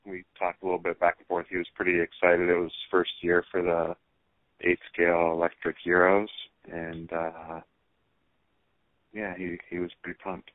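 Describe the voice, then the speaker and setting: A man talking casually as a racer being interviewed over the phone, pausing often as he thinks through his answers. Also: deep voice, monotone, no echo. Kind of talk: casual phone interview about hobby racing. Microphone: telephone. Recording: tinny, band-limited phone line.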